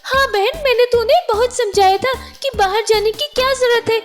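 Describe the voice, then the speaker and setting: Woman voicing a very high, worried cartoon wife bird. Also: brisk, loud music behind the voice. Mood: worried, pleading. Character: worried, scheming wife bird who misses her husband.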